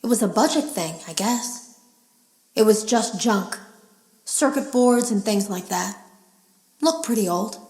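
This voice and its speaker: An echoey room surrounds an uneasy female game tester. Her mid-range voice tells its story in a measured, confessional way, like secret audio logs in a horror video game. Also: mid-range voice, expressive, darkish tone. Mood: uneasy, puzzled. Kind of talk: scripted audio log monologue in a horror game.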